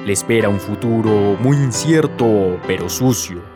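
A man playing a solemn, dramatic narrator of a radio soap, speaking in a grandiose, deliberate manner. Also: fairly low pitch, lightly acted. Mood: mock solemn. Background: music with guitar.

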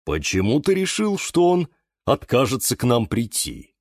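A man's voice: medium pitch, wildly swooping pitch, normal speed, dry recording, studio quality, voice pushed up. A man voicing a thoughtful, sententious bonsai tree in a children's story, musing in a sing-song way. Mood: questioning.

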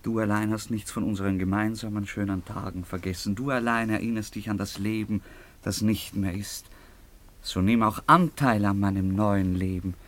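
A man's voice: lowish voice, fairly even intonation, normal speed, lightly acted. An actor playing a passionate young baron in a radio drama, declaiming his wooing in poetic, theatrical tones. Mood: ardent, tender. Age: young adult.

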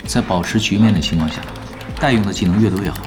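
Actor as a low-voiced, laid-back gaming veteran. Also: male voice, quick pace, over music, lightly acted. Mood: relaxed, instructive. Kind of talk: Chinese audio drama acting.

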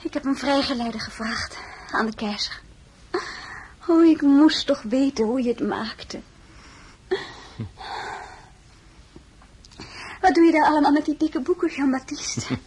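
An actress playing a marshal's affectionate, resolute young wife in an emotional, intimate radio drama style. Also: female voice, high-pitched, normal speed, a little mellow, lightly acted. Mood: curious, affectionate.

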